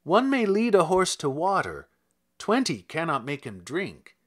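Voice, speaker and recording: A man with a fairly high voice gives a dramatic reading of narrative verse. His delivery is slow and measured, with wide, expressive swings in pitch. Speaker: reader of a poem.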